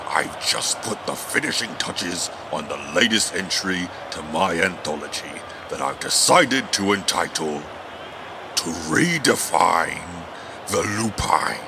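Deep, gravelly, pompous dog poet. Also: male voice, animated, slow pace, noisy background, heavily acted. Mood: grandly announcing. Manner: grandiose, theatrical recitation. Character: pompous male dog fighter, self-styled poet. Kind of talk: audio drama acting.

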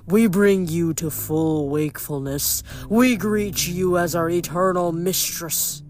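A woman voicing a huge old male giant, speaking deep and slow in solemn prayer. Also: a little mellow.

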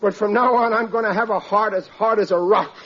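A man's voice: sits high, fairly even intonation, a little fast, a bit bright. An actor playing a sulky, blustering working-class family man in a 1940s-style radio sitcom, grumbling in colloquial speech. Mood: sulky, bitter. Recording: muffled old radio recording, audience behind.

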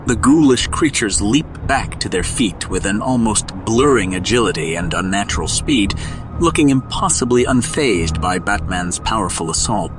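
A man's voice: fairly low pitch, animated, normal speed, low-quality recording. A man narrating a scripted audio drama in a dramatic, descriptive storytelling manner. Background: music.

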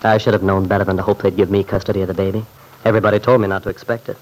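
Earnest, folksy nice guy for vintage radio drama; lowish voice, mild, polite, sincere. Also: level tone, rapid-fire, darkish tone, low-quality recording. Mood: resigned. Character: earnest, boyish, polite man, hopeful but discouraged. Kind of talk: old-time radio soap opera acting.

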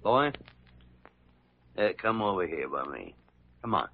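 An old American doctor, gruff, grave and kindly. His low voice rises and falls widely, with halting, emphatic phrases and repeated words. The sound is a dull vintage radio drama recording. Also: sing-song, slight background noise, exaggerated voice. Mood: solemn, gentle. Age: older. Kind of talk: 1940s-50s radio drama acting.

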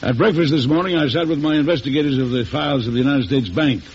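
A man's voice: medium pitch, quick pace, a little mellow, husky, close to an everyday voice. An older man playing an aging, stern and wry American president in an old-time radio drama, formal and commanding. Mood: wry, measured. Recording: hissy, muffled vintage radio recording.

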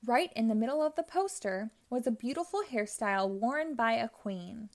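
A woman reading a children's picture book aloud, narrating in a gentle, expressive storytelling manner. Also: a little high, moderate pace. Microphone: audio only, close mic.

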